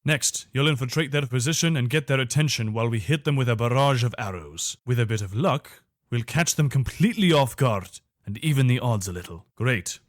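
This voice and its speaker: A man's voice: medium pitch, lively intonation, fairly quick, few pauses, no echo, clean studio sound, natural voice. A man playing a loyal, wary soldier of an imperial legion in a video game, speaking in an earnest, soldierly manner. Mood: focused. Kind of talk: video game character voice lines.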